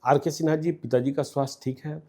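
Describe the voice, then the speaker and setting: A man interviewing a guest, firing off quick questions and often murmuring his assent. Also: on the high side, steady tone, darkish tone. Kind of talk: rapid-fire interview questions.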